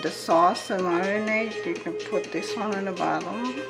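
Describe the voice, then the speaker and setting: A woman's voice: medium pitch, normal speed, vocal fry. A woman hosting a home cooking vlog, talking casually to viewers in short, simple phrases. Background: background music.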